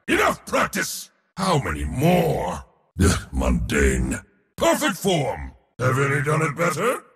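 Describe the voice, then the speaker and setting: A man voicing a proud, boastful god of war for a video game, gravelly, pompous and theatrical in delivery. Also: on the high side, a bit bright, big-room sound, exaggerated voice. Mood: battle-roused, commanding, then smug, amused. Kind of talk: video game character voice lines.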